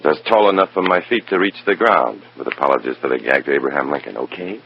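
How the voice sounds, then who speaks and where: An actor playing a wry, bitter undercover FBI informant, sardonic and hard-boiled, in an old radio drama. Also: male voice, a bass voice, expressive, fairly quick, gravelly, a little hiss or hum, heavily acted. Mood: sardonic, questioning. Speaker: narrator and protagonist. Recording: dull, muffled old radio recording.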